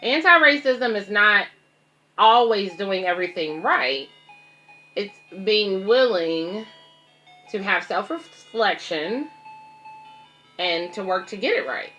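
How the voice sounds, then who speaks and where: Bright-voiced woman reading aloud to children. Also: mid-range voice, animated, slow pace, pauses often, highs cut off, soft background music. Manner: explains simply, addressing young children and parents. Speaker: babysitter and children's story reader. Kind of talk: read-aloud picture book with casual asides to viewers.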